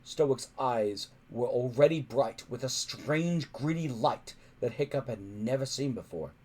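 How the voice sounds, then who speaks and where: A man's voice: medium pitch, animated, unhurried, darkish tone. A man reading a children's story aloud in a dramatic, emphatic narrating style. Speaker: audiobook-style story reader.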